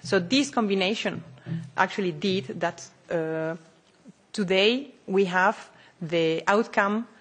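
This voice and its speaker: A woman giving halting, hedged remarks at a parliamentary hearing, pausing often on uh. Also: mid-range voice, slightly rough. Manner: halting, hedging with uh and I would say. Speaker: panel speaker.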